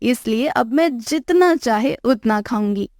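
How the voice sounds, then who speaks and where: A woman's voice: a little high, expressive, a little slow, darkish tone, dry recording, studio quality. A woman voicing a brazen, food-loving young daughter-in-law in a cartoon moral story. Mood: defiant. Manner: cheeky, defiant.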